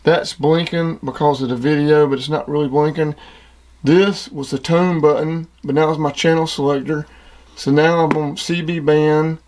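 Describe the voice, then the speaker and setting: A man with a somewhat high-pitched voice hosts a hobby demonstration. He talks informally and plainly, with clear enthusiasm for the gear he is explaining. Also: darkish tone. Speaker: CB radio hobbyist and video host. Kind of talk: casual product demonstration.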